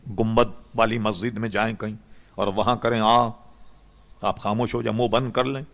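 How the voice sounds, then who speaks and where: Older male religious scholar. Low, creaky delivery, conversational and explanatory, for informal question-and-answer talk. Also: steady tone, moderate pace, a bit bright. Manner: explanatory, conversational, uses examples and check-in questions.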